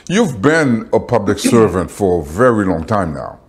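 Middle-aged male host, fairly low voice, loose rambling questions, webcam sound. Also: big pitch swings, moderate pace. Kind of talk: interviewer questions. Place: indoors, windowed room. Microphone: webcam video call.

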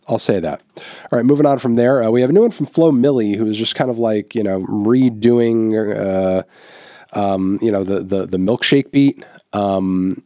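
A man's voice: fairly low pitch, no echo, clean studio sound. Stuffy-nosed man reviewing songs. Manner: relaxed, frequent filler words, blunt verdicts. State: stuffy nose, sick. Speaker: music reviewer host. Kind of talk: casual opinionated music review. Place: small indoor room, newly set up. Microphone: large studio microphone on boom in front of him.

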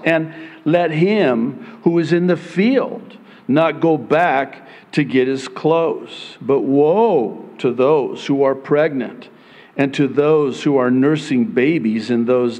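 Middle-aged male pastor, native Arabic speaker, slow measured sermon, reverberant space. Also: a little mellow. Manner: slow, deliberate delivery with dramatic pitch swings when quoting scripture. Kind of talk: prophecy sermon.

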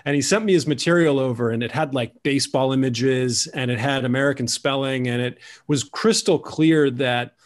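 Man, 40s, animated storyteller, restarts, video call laptop mic. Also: medium pitch, moderate pace, few pauses. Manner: animated, gesturing, many restarts. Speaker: guest author. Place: room at home.

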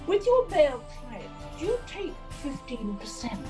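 A cheeky, theatrical good fairy from a comic pantomime. Her voice is fairly high and very animated, over faint music. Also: moderate pace. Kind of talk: comic pantomime acting and rhyming narration.

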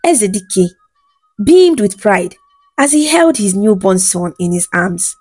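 A woman narrating a scripted folktale in a measured, dramatic storytelling manner. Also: medium pitch, big pitch swings, darkish tone, slightly rough, no room sound, soft background music.

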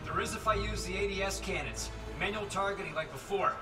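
A man's voice: high voice, a little fast, natural voice. An actor playing a determined, practical male engineer in a dramatic science fiction game. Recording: reverberant, distant helmet voice under music.

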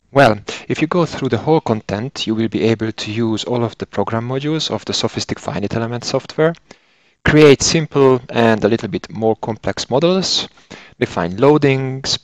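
A man in his forties with a Hungarian accent delivers scripted instructional narration as a trainer. His voice sits fairly low. He explains things methodically, recorded close and very dry with no room sound. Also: no echo. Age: 40s. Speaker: software trainer and consultant engineer.

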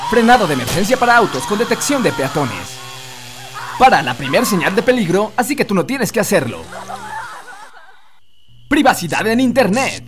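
A man's voice: high voice, sing-song, moderate pace, pauses often, noisy background. A man voicing an announcer who reads mock advice as scripted narration in a cartoon dub. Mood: announcing.

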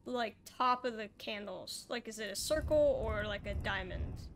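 A woman's voice: medium pitch, moderate pace. A young adult woman chatting casually as she plays a co-op puzzle game. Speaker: gaming video host and player. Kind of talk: casual chat during co-op puzzle gameplay. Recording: noticeable background noise and game ambience.

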